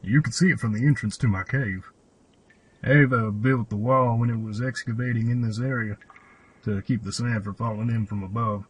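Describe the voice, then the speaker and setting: A man's voice: mid-range voice, fairly even intonation, moderate pace, breathy edge, natural voice, rough recording. A man playing a cryptic old cave hermit and mechanic in an audio drama, with a solemn, old-fashioned storyteller's delivery. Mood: gruff, practical. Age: older. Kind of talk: fan audio drama acting.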